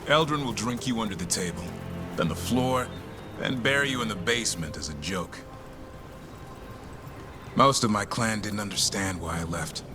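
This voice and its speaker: An actor playing a restless, gruff but fond elf warrior who handles a griffin, in video game dialogue. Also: male voice, lowish voice, animated, normal speed, pauses often, lightly acted. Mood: reflective. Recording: music under dialogue.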